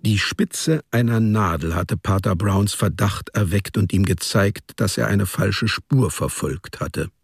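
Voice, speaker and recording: A man's voice: fairly low pitch, a little slow, hardly any pauses, slightly rough. A man reading literary narration as the storyteller of a radio drama.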